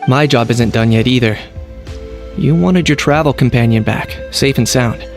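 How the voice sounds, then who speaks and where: A man voicing a dry, businesslike young male mercenary in a video game, deadpan. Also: medium pitch, moderate pace, vocal fry, soft background music, natural voice.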